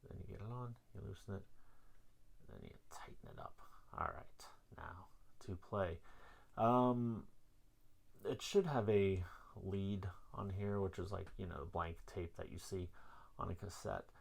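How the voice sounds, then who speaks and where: A man giving a casual, hands-on gear demonstration in an informal, rambling, self-deprecating way, with a gravelly, husky voice. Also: low-pitched, a little slow. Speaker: vlog host.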